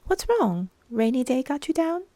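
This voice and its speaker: A woman's voice: high voice, normal speed, no room sound, pitched above the performer's own voice. A woman voicing a bubbly, playful young woman who teases and coaxes her friend. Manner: teasing, excitable, coaxing.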